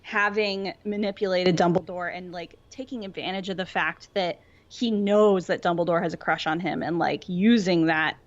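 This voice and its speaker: A woman's voice: fairly low pitch, fairly even intonation, normal speed, few pauses, muffled recording. A young adult woman rambling casually through her opinions as a podcast co-host in a fandom discussion.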